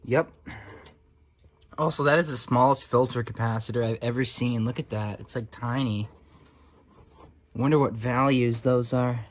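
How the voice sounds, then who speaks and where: A man's voice: medium pitch, fairly even intonation, moderate pace, pauses often. A man giving casual, off-the-cuff hobbyist commentary at a workbench, with wry, sarcastic asides muttered along the way. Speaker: hobbyist restorer and video host.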